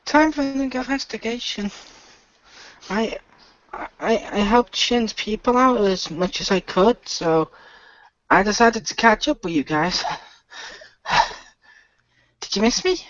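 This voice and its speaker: A woman's voice: medium pitch, slow pace, long pauses, fairly bright tone, no room sound. A woman playing an eager, naive, friendly young adventurer in an improvised radio play, childlike and hesitant. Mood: curious, hesitant. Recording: dull lo-fi voice recording.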